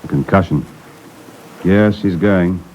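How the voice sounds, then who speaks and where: An actor playing a commanding but compassionate British tugboat skipper in a 1950s radio drama, clipped and authoritative. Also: male voice, sits low, slow pace. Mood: sombre. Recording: dull, noisy 1950s radio recording.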